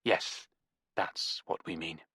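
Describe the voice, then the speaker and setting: A sharp-tongued young woman, as read by a male narrator, cutting and pointed in a storybook reading. The voice stays close to the reader's own, bright and dry, with a sarcastic edge. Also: mid-range voice, big pitch swings, a little fast, dry recording.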